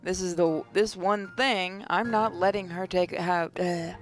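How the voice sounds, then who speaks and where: A woman's voice: fairly low pitch, fairly even intonation, unhurried, soft background music. A woman reading a visual novel's narration aloud, now and then stumbling and rereading a phrase. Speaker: gaming channel host.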